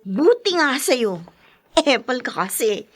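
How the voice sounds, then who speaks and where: A woman's voice: high-pitched, sing-song, slow pace, faint music behind, exaggerated voice. An actress playing a flippant, snappy, defiant young mother in a Filipino audio drama. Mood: mocking. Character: brash, defiant young mother, uncaring sister. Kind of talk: Filipino podcast radio drama acting.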